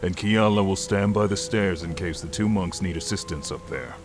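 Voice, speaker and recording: A man playing a stern dragonborn paladin and leader in a fantasy audio drama, commanding, deliberate and easily exasperated. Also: low-pitched, over music, close to an everyday voice. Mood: stern, pondering.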